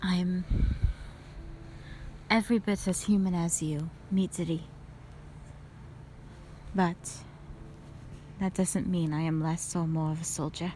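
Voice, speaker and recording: A woman voicing a stern, weary young woman soldier with a formal, measured manner in an audio drama. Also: on the low side, fairly even intonation, dark tone, a little hiss or hum, voice pushed down. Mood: weary, resolute. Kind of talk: dramatic audio roleplay acting.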